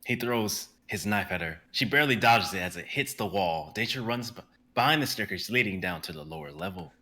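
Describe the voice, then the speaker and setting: A young man narrating the stage directions of a dramatic reading in a steady, descriptive way. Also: fairly low pitch, fairly quick. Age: young adult.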